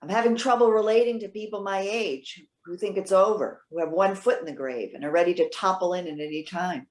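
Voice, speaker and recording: A woman's voice: lowish voice, brisk, natural voice. A woman playing a proud, witty elderly retired social worker in readers theater, articulate, wry and pointed. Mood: indignant. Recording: roomy echo.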